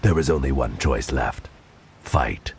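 Very deep, gravelly male storyteller. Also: lively intonation, unhurried. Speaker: narrator. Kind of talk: dramatic scripted audio storybook narration. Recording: loud music under the voice. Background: loud dramatic music.

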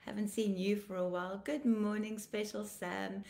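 Tired, croaky woman pastor praying. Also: mid-range voice, level tone, moderate pace, warm tone, reverberant. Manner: effusive, greeting viewers by name; fervent praying, repeating declarations. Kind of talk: live-stream greetings and prayer. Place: living room at home, indoors. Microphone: laptop webcam, video call framing.

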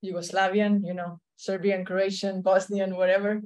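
A woman in her thirties with a Slovenian accent, speaking as a tennis coach in a video-call interview, often pausing and repeating words as she searches for English phrasing. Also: mid-range voice, little pitch movement, a little mellow. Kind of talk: casual interview chat. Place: indoor room at home.

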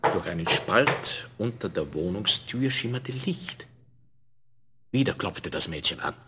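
Low-voiced male storyteller on crackly vinyl. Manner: expressive, measured, addresses the listener. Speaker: narrator. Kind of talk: scripted fairy-tale storytelling. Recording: crackly 1970s vinyl record transfer.

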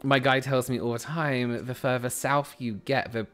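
A talkative man in his thirties with a South London accent chats into his headset while he games. His voice sits at an ordinary middle pitch. Soft game music plays quietly under him. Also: normal speed. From England. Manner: chatty, animated, jumps into a high squeal when excited. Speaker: streamer. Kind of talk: casual chat while gaming, reading chat aloud. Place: small room at home. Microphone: headset with boom mic.

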